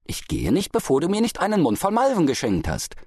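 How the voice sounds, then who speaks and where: A man's voice: fairly high pitch, huge pitch swings, fairly quick, darkish tone, husky, no room sound, clean studio sound, pitched above the performer's own voice, heavily acted. A man voicing a rich merchant's wife in a story, haughty and mock-sweet as she pesters and needles. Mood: wheedling, insistent.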